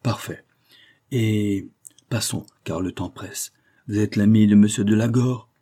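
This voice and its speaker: A man voicing a brisk, shrewd male mentor in an audiobook, emphatic and peremptory with a wry, jovial streak. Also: on the low side, dark tone. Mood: commanding. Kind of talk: audiobook character dialogue.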